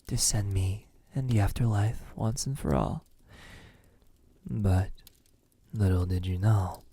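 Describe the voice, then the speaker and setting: A man playing a possessive, teasing vampire in an intimate ASMR roleplay, speaking softly and breathily with frequent drops into a whisper. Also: fairly low pitch, heavily acted. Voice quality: breathy, often dropping to a whisper. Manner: slow, menacingly tender, teasing. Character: possessive, teasing, lonely male vampire in his castle.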